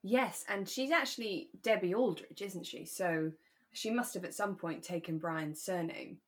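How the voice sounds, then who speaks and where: British female podcast co-host, slightly low voice, relaxed and reflective conversational delivery. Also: moderate pace. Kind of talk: relaxed discussion of a radio drama.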